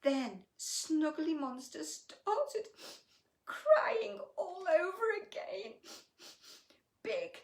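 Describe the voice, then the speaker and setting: Female children's storyteller: very animated, unhurried, gushing and affectionate, exclaiming to little listeners, in a roomy recording. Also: extremely high pitch, creaky. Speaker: children's bedtime story reader and host. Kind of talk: animated storytelling and chat addressed to young children.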